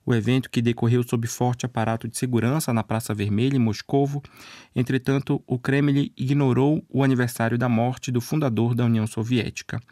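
A man's voice: medium pitch, level tone, fairly quick, dark tone, no echo, clean studio sound. A man reading a scripted radio news bulletin as a news presenter. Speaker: news reader.

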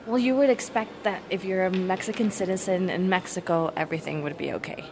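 A woman's voice: lowish voice, steady tone, brisk, noisy background. A woman working as a recruitment director, explaining her program thoughtfully in an interview and restarting as she searches for words.

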